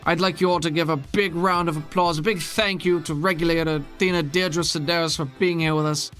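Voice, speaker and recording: Gushing TV host for a tabletop game , played by a man, slightly high voice, showy and genteel, music underneath. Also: fairly even intonation, fairly quick, a bit bright, natural voice. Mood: rousing, showy. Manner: effusive, genteel, calls everyone dear. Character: gushing, theatrical TV interview host, effusive and smooth.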